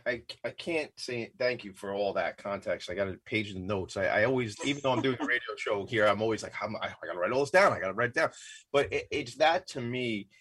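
Husky-voiced rambling male radio host. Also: on the low side, fairly bright tone. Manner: quick, rambling, repeats words, self-interrupting. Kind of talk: casual interview chat.

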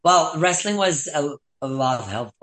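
A man's voice: a little high, expressive, moderate pace, fairly bright tone. A young man from Quebec with a French-Canadian accent, chatting casually as a guest, full of "like" fillers. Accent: Québécois French. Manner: rambling, many "like" fillers, laughs often. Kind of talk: casual chat.

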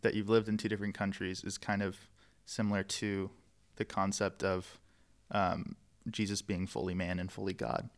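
A man's voice: deep voice, little pitch movement, moderate pace, few pauses. A man from the United States in a casual chat, easygoing and laughing between remarks.

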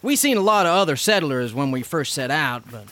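Folksy southern frontier settler, wary n halting, pitch jumps around, bit of noise behind. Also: male voice, lively intonation, sharp tone. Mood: wary, awkward. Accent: American South. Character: wary rustic male settler from 1880s Arkansas. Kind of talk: radio drama acting.

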